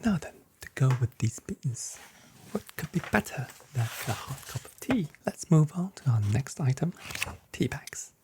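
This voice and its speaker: A very soft, whispering voice in an ASMR role play as a grocery shop assistant, giving gently playful sales patter. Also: mid-range voice, very animated, moderate pace, background noise.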